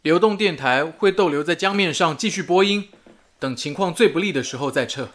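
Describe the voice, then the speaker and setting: A man's voice: fairly high pitch, a little fast, a bit bright, lightly acted. An actor playing a dutiful, businesslike man at a wartime radio station, in radio drama dialogue.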